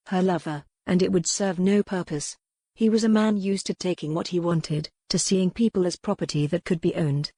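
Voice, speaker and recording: A woman's voice: fairly low pitch, a little mellow, no room sound. Dry, close-sounding woman audiobook narrator. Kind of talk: scripted dramatic fiction narration.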